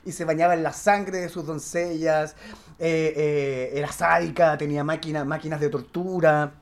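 A man with a Chilean accent chatting casually as a podcast guest, telling stories in a halting, slangy, animated way. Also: fairly high pitch. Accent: Chilean Spanish. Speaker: podcast guest, stage actor. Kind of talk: casual anecdotal chat.

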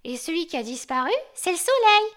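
A woman doing a high yappy old guard dog. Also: big pitch swings, fairly quick, fairly bright tone, full character voice. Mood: lecturing. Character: old grumpy male yard dog, know-it-all.

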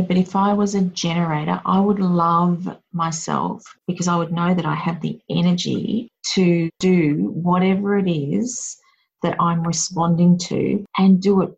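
A woman's voice: lowish voice, creaky, darkish tone, breathy edge, muffled recording. A woman hosting a casual chat, talking in a reflective, encouraging way.

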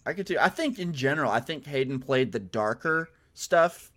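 A man with an average-pitched voice chats as a podcast guest. He sounds casual and warmly enthusiastic, reacting freely to what he is watching. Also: animated, moderate pace. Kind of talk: casual film watch-party chat.

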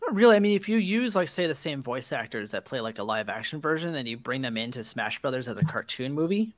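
A man's voice: mid-range voice, very animated, fast, no echo. A man co-hosting a podcast in casual, conversational chat. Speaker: podcast co-host.

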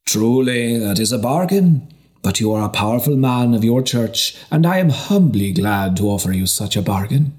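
A man's voice: medium pitch, animated, normal speed, a little mellow, lightly acted. Man voicing a wily, grandiose travelling trader. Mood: falsely humble. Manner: grandiose salesman's patter. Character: wily male travelling trader peddling exotic rarities. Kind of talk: audiobook character reading.

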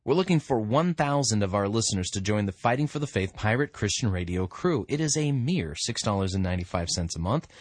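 A man hosting a radio show, giving informal commentary with emphatic, drawn-out delivery. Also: lowish voice, lively intonation, no room sound.

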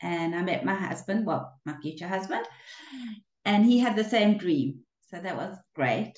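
A woman's voice: on the low side, expressive, moderate pace, a little mellow, roomy sound, lo-fi sound. An older woman from Africa, a relationships coach telling her story in an interview, with hesitations and restarts. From South Africa. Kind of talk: casual interview conversation.

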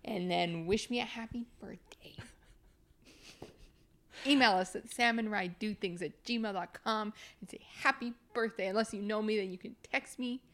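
A woman's voice: medium pitch, measured pace, a bit bright. A woman in her twenties co-hosting a podcast, chatting casually and laughing often. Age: 20s. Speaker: podcast co-host. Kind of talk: casual chat.

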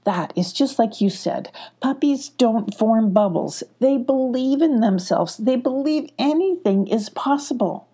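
A woman voicing a calm female storybook advisor with a gentle, reassuring, explanatory manner. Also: on the high side, a little slow, few pauses, husky, pitched above the performer's own voice, full character voice.

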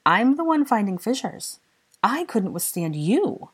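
A woman voicing a bright, bossy young woman in love, emotional, indignant and exasperated, in dramatic audiobook dialogue. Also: on the low side, expressive, fairly quick, husky, pitched above the performer's own voice. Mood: tearful, exasperated.

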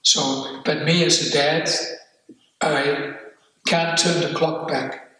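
An older man from the Netherlands with a Dutch accent, speaking candidly and haltingly about his life, repeating words as he goes. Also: a little high, flat delivery, pushed and bright, far from the mic. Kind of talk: personal reflective testimony. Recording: echoey room, off-mic.

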